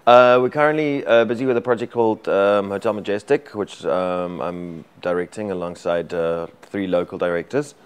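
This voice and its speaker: A South African man with an Afrikaans accent, a native Afrikaans speaker, talking casually as an interview guest in an energetic, rambling way with many restarts. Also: deep voice, moderate pace, hardly any pauses, clear, resonant tone. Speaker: actor and director guest.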